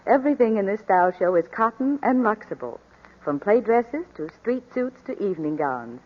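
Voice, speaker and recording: A woman's voice: medium pitch, animated, moderate pace. A woman speaking as a fashion reporter in scripted 1940s radio advertising chat. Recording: muffled 1940s radio broadcast.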